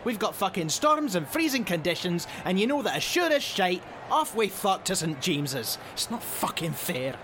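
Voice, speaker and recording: An actor playing a blunt, foul-mouthed, mocking sporting director in a naturalistic comedy drama. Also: male voice, high voice, big pitch swings, moderate pace, few pauses, a bit bright, a little hiss or hum. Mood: angry grumbling. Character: sweary, mocking, grumbling football sporting director.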